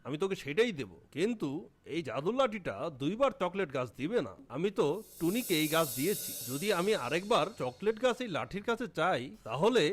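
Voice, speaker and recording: A man voicing a patient but fussy cartoon eagle healer with a husky, familiar, emphatic delivery. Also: sits high, sing-song, moderate pace. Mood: firm, pleading. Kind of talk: animated cartoon character acting.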